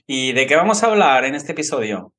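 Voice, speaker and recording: A man from Andalusia with a Spanish accent from Spain, hosting a language-learning podcast and speaking in a clear, instructional way. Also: mid-range voice, very animated, a little fast, a bit bright. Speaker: podcast host and language teacher. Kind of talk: scripted podcast introduction and interviewing.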